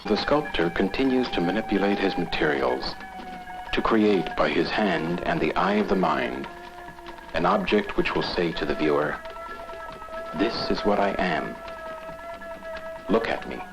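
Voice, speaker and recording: A man giving solemn, deliberate scripted narration for a vintage educational film. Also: deep voice, sing-song, vocal fry, music in the background, poor audio. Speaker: documentary narrator.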